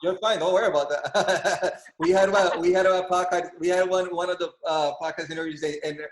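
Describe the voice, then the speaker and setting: A man hosts a podcast conversation, his words coming out halting and full of restarts. He laughs easily and keeps a friendly tone. His voice rings in an echoey room. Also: high-pitched, steady tone. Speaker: podcast host. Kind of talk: casual conversation.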